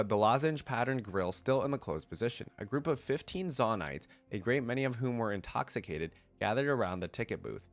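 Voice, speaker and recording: A man with a congested, cold-affected voice, reading a story aloud and breaking off into rambling, casual commentary as a reaction video host. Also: fairly low pitch. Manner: rambling, thinking aloud in asides.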